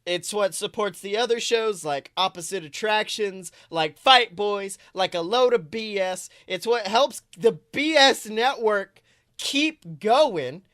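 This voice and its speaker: Bright-voiced, excitable man hosting a podcast. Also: high voice, takes it slowly, no echo, clean studio sound. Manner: excitable, jokey, theatrical emphasis. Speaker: podcast host. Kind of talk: casual chatty pop-culture banter.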